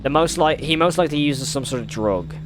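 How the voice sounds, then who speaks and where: A man's voice: a little high, expressive, fairly quick, background noise. Man, reaction video host, casual commentary, informal, rambling delivery, mild swearing.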